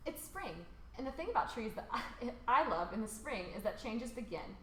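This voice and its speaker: Female, American, children's read-along host. Lively pitch movement, informal delivery, recorded in a roomy echo. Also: a little fast. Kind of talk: picture book read aloud for children. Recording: roomy echo, faint background noise.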